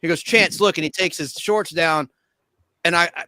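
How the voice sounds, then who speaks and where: High, bright-voiced joking man. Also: moderate pace, no echo, poor audio. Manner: joking, loopy. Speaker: panelist. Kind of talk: casual panel chat.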